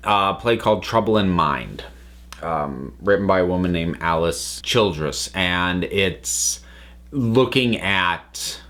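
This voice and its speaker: Low-pitched man giving a thoughtful review. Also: a bit bright. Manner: thoughtful, halting phrasing with repeated words. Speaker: review channel host. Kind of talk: casual spoken review to camera.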